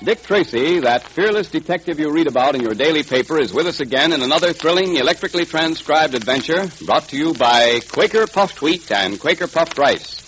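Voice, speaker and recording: A man's voice: on the high side, brisk, few pauses. A man announcing and narrating in polished, emphatic 1940s radio style, as for adverts and adventure serials. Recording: crackly old radio recording, steady hiss.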